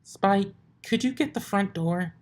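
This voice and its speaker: A man's voice: high-pitched, measured pace, a little mellow, pitched above the performer's own voice. A man voicing a helpful, bookish female unicorn scholar with an earnest, hesitant manner in a story reading. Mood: calling out.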